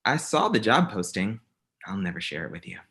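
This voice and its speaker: An actor at a comedy table read playing an aggrieved, smugly ambitious office rival, earnest and combative. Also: male voice, fairly low pitch, big pitch swings, fairly quick, fairly bright tone.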